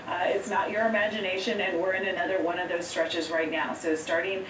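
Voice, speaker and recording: A woman anchoring a TV newscast, trading quick chatty lines before a weather segment. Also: fairly low pitch, little pitch movement. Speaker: TV news anchor. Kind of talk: broadcast news banter. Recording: distant, roomy, noisy TV clip playback.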